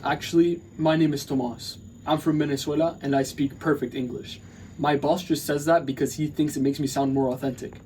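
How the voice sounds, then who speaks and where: A young man playing a modest male analyst who explains in a measured way, recorded from a distance in an echoey room. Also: a little high, fairly even intonation, darkish tone, slight background noise, lightly acted. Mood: sheepish. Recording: echoey room, far mic.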